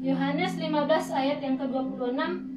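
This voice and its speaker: A young woman reading scripture aloud at a steady pace, soft and quiet. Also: high-pitched, moderate pace. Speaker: congregation member reading scripture. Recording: distant, echoey, dull, loud music. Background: guitar music.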